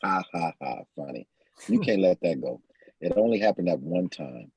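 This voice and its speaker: An actor playing a cocky, smooth-talking street hustler in an audio drama, husky and gravelly, with slangy, wry delivery. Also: male voice, low-pitched, lively intonation, normal speed, darkish tone, heavily acted, poor audio. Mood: wry, businesslike.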